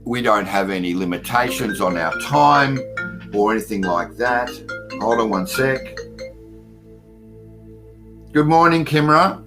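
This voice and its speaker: A man's voice: mid-range voice, lively intonation, a little slow, long pauses, music in the background. A man hosting an online call-in radio show, talking loosely with many fillers and greeting listeners by name. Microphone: computer mic at a desktop.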